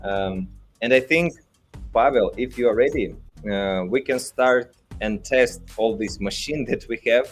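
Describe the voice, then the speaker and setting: A man with a Russian accent, a native Russian speaker, hosting a casual live company podcast with halting, hesitant phrasing. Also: medium pitch, soft background music. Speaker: company leader hosting a livestream. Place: studio built in a hotel room.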